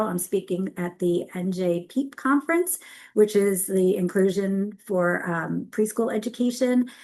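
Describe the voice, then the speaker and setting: A woman presenting on a video call webinar, talking in a chatty, upbeat way and correcting herself as she goes. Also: mid-range voice.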